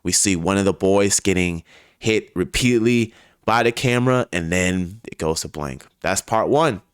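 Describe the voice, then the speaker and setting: A man hosting and narrating a scripted video essay, telling the story in a dramatic, emphatic way with deliberate pauses. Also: low voice, clean tone, no room sound, clean studio sound.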